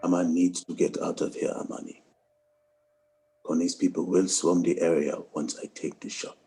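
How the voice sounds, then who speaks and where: A man reading the part of a resolute, sorrowful soldier bent on revenge, in a quiet, deliberate, grave way. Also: low voice, little pitch movement, lightly acted. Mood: grim resolve. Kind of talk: dramatic script table read.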